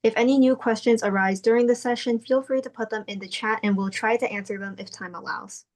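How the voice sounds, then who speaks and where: A woman's voice: on the high side, steady tone, fairly quick. A young woman, a student research director, co-moderating a panel in an upbeat, scripted way.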